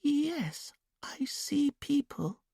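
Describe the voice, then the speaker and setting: A man voicing a young woman in a hypnotic trance, who answers in a quiet, breathy, childlike sing-song. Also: very high-pitched, very animated, very slow, dry recording, studio quality, voice pushed up, exaggerated voice. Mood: dreamy, entranced.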